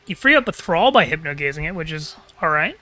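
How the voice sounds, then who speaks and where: A man's voice: a little high, big pitch swings, brisk, pushed and bright, dry recording. A man casting a live tabletop-style video game match, chatting casually and thinking aloud, with a slightly crackly throat. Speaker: caster.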